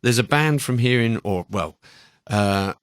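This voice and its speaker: Low-voiced male radio host, rambling and conversational. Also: animated, measured pace, clear, resonant tone, dry recording, studio quality. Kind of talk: casual radio chat introducing new music. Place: radio studio. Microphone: close studio microphone.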